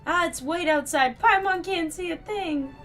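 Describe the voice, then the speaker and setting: A woman's voice: extremely high pitch, a little slow, fairly bright tone, soft background music, pitched above the performer's own voice. A woman voicing a small, chirpy, food-loving fairy companion from a video game, eager in manner. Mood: startled, excited. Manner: speaks of herself in third person, eager.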